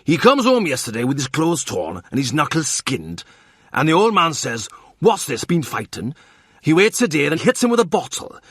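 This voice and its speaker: A man voicing an excitable, self-important man who rants with heated indignation. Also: fairly high pitch, moderate pace, slightly rough, no echo, clean studio sound, pitched above the performer's own voice, full character voice. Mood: ranting.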